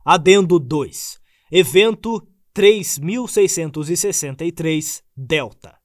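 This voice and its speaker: A man narrating a formal report in a measured reading. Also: fairly high pitch, expressive, no echo, studio quality.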